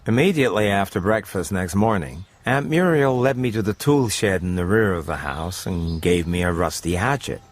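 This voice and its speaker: Low-voiced man narrating over music. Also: normal speed, hardly any pauses. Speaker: narrator and presenter of radio stories. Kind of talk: scripted dramatic story narration.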